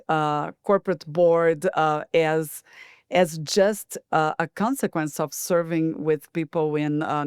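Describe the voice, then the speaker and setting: A middle-aged woman from Brazil with a Brazilian Portuguese accent, a board director guest on a podcast interview, speaking in a measured way and thinking aloud. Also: deep voice, clean tone, no room sound, clean studio sound.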